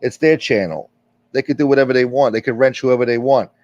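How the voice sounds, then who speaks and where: A man's voice: medium pitch, fairly quick, a little mellow, no room sound. Rambling, profane man on a lo-fi stream. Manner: rambling, candid, profane, with frequent fillers. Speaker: livestream host. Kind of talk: casual livestream chat reading viewer comments. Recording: dull, lo-fi stream audio.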